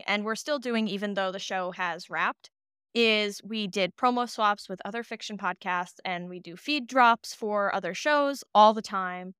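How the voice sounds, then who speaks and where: A woman with a strikingly clean, bright voice talks casually as a podcast producer being interviewed. She tells things in an animated way, voicing imagined exchanges. The sound is dry, with no room echo. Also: medium pitch, smooth. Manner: animated storytelling, acts out imagined dialogue.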